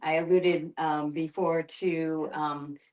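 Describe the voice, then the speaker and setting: A woman's voice: sits low, little pitch movement, slow pace, a little mellow. A woman facilitating a casual group discussion, thinking aloud in a hesitant way.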